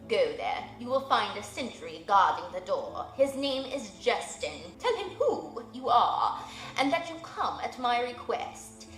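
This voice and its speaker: A woman voicing a wise, solemn old male owl who speaks slowly and formally. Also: on the high side, big pitch swings, fairly bright tone, reverberant, soft background music, rough recording. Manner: slow, measured, formal.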